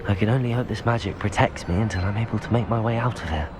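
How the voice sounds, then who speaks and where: A man's voice: lowish voice, quick pace, noisy background, close to an everyday voice. A young man playing a curious, brave teenage wizard in a video game, musing aloud to himself. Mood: awed, uneasy. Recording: background music under the voice.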